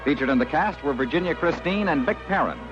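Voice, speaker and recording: A man's voice: a little high, animated, fast talker. An American man giving formal, polished credits as a 1950s-style radio announcer. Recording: dull old radio broadcast, loud music.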